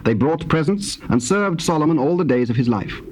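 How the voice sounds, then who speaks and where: Animated male scripture narrator. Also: on the high side, fairly quick. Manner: stately, declamatory. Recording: faintly noisy old cassette tape.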